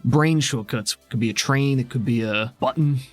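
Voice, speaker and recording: A man's voice: mid-range voice, normal speed, soft background music, natural voice. A man playing a helpful, cautious guide in an improvised tabletop game, casual and reassuring. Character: helpful, cautious male guide with circuitry, inside a brainscape. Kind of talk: improvised in-character game dialogue.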